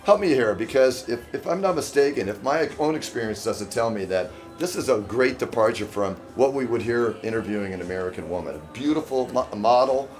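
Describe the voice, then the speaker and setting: A man's voice: medium pitch, expressive, fairly quick, hardly any pauses, darkish tone, faint music behind. A man hosting a live-streamed interview, talking enthusiastically to his viewers. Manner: enthusiastic, salesy, addresses viewers as guys. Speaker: host. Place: indoor party venue.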